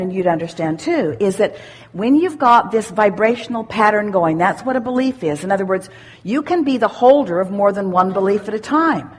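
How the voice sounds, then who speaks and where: A woman teaching interactively as a seminar speaker, emphatic and deliberate, repeating phrases and posing questions. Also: medium pitch, breathy edge.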